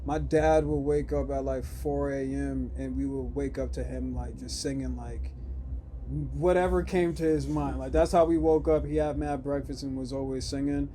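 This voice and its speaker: A man's voice: mid-range voice, moderate pace, a little hiss or hum. A young man from Massachusetts, a rapper guest chatting casually on a podcast and filling his talk with "like". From Springfield, Massachusetts. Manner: relaxed, laid-back, many filler "like"s.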